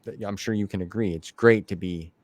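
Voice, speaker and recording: Man, interview host, lowish pitch, informal rambling chat, close dry recording. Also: fairly quick, darkish tone, no echo. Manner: informal, rambling, uses "man" and "dude" often. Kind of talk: casual conversational interview chat.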